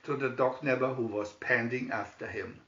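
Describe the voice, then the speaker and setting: A man reading a scripted children's story in a deliberate manner, announcing pictures as a narrator. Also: mid-range voice, fairly even intonation, fairly bright tone. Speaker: storybook reader. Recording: muffled, echoey room recording.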